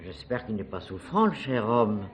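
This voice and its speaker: A woman's voice: extremely low pitch, big pitch swings, normal speed, a little hiss or hum. A woman playing a genteel, gossipy old lady who gushes and exclaims in a French theatre play. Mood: fond admiring. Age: older. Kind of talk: French radio play acting.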